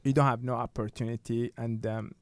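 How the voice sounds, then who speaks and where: A man in his thirties with a Tunisian accent, a radio guest telling his story in simple, halting English. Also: mid-range voice, little pitch movement, dry recording. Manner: simple broken English with many restarts. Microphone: studio mic.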